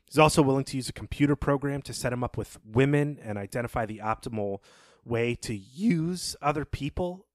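Male podcast host, medium pitch, reflective and halting literary talk, dry close recording. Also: a bit bright, dry recording. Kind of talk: literary discussion, thoughtful analysis.

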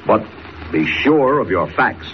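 A blustery American city editor in a forties radio serial. His emphatic, crusading delivery swings widely in pitch. The old recording is muffled. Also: sing-song, slow, slight background noise. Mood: indignant, forceful. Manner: emphatic, declamatory. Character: blustery, crusading, hot-blooded male newspaper city editor. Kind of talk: 1940s radio acting.

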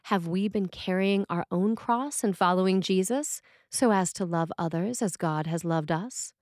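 A woman reading a scripted sermon in a measured, deliberate way. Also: fairly low pitch, darkish tone, dry recording, studio quality. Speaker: sermon reader.

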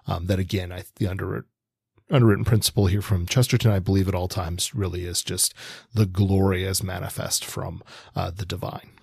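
Hesitant, lower-pitched male podcast co-host. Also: steady tone, brisk, gravelly, darkish tone, slightly rough, no room sound, clean studio sound. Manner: hesitant, thinking aloud in fragments. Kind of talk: casual literary discussion.

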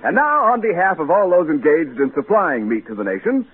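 A man's voice: a little high, big pitch swings, fairly quick, over loud music. A man announcing a 1940s radio show in a declamatory, scripted style. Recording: tinny, noisy 1940s radio recording.

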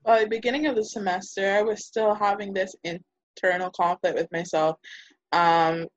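A woman's voice: mid-range voice, fairly even intonation, moderate pace, highs cut off. A woman on a video call, reflecting hesitantly in a class discussion. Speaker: student in a linguistics discussion.

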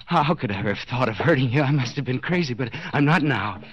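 An actor playing a desperate, guilt-ridden husband in a 1940s radio suspense drama, stammering and repeating himself breathlessly. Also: male voice, mid-range voice, expressive, quick pace, natural voice. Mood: frantic pleading. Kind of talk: 1940s radio suspense acting, interior monologue. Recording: noisy, dull 1940s radio recording.

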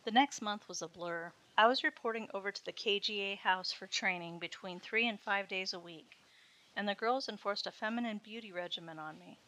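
A woman reading a first-person story in a steady, even narration. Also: mid-range voice, a little fast, low-quality recording. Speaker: story reader.

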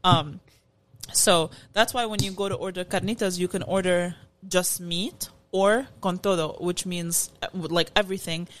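A woman's voice: on the low side, fairly even intonation, normal speed, fairly bright tone, slight background noise. A woman co-hosting a casual food-review chat, explaining background details with many "like" and "um" fillers. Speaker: podcast co-host.

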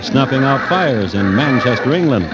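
An actor playing a genteel, dryly morbid crime-story host, narrating in a measured, deadpan, ironic way in old radio drama style. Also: male voice, mid-range voice, natural voice. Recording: noisy, muffled old radio recording.

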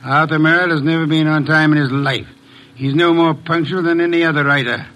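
A ponderous, self-important detective in an old radio mystery. His voice sits fairly high for a man, and he grumbles in long, formal sentences, balking at being hurried. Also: fairly even intonation, sharp tone, rough recording. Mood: pompous, dry. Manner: pompous, grumbling, formal wordy speech. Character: bulky, balky, pompous genius detective who loathes hurry. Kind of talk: 1940s-50s American radio drama acting.

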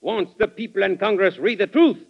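An actor playing a proud, scheming envoy, in impassioned old-time radio drama style. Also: male voice, high-pitched, brisk, gravelly. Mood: persuasive. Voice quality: impassioned, declamatory. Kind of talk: 1940s radio acting. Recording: muffled old radio recording.

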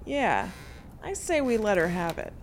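A breezy young woman played by an actress in an audio drama, flippant about dark threats. Her voice sits at a middle pitch, with faint background noise. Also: wildly swooping pitch, measured pace.

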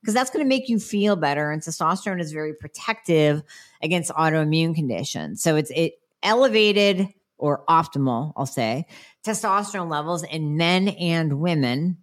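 Middle-aged woman practitioner, lowish voice gone nasal w a cold, frank chatty q&a. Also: animated, normal speed. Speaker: health practitioner answering viewer questions. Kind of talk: casual Q&A talk.

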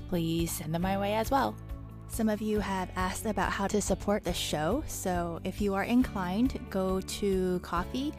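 A woman host reading the show's sign-off and contact details. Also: on the low side. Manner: even, read-aloud sign-off. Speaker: podcast host. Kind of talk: scripted outro.

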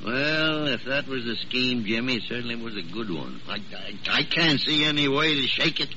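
A man's voice: medium pitch, expressive, moderate pace, pushed and bright, highs cut off. A man playing a wry, crusty senior doctor in an old-time radio drama, emphatic and commanding. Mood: wry, game. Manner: wry, emphatic, repeats himself. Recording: faintly noisy, band-limited vintage radio recording.

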